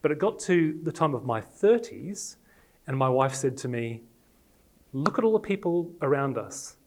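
A man in his forties from Australia, with an accent mixing Australian and English traces, preaching a personal sermon with animated, self-deprecating storytelling. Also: a little high, moderate pace, a little hiss or hum. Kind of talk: personal sermon talk to a congregation.

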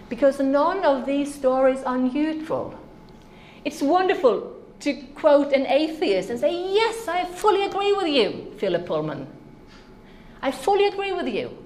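A woman's voice: a little high, darkish tone, roomy sound, slight background noise. A woman from Norway, a native Norwegian speaker, giving an earnest, emphatic conference talk on ministry to children.

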